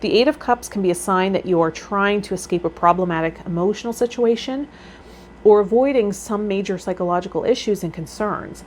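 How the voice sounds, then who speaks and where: A woman's voice: medium pitch, a little fast, darkish tone. Woman reading tarot meanings, noisy recording. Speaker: tarot vlogger and healer hosting a series. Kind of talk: reading tarot meanings aloud with casual commentary. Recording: noticeable background noise.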